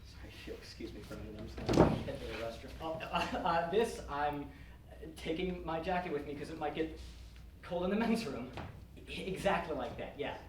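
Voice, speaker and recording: A man's voice: fairly high pitch, expressive, brisk, lightly acted. A young man acting as an awkward, flustered date in an amateur stage comedy, stammering and exaggerated. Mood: flustered excuse. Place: indoor theater stage, far from the mic. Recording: distant, echoey, noisy stage recording.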